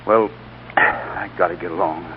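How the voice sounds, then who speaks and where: A plain, earnest working man in a 1940s radio drama, humble and folksy. His voice is very low. The old recording is dull and slightly noisy. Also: steady tone, a little slow.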